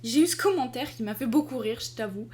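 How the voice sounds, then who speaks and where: Young woman from France, slightly high voice, casual and lively, exasperated vlog storyteller. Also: quick pace, slight background noise. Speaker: artist and video creator. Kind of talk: casual chat to the camera.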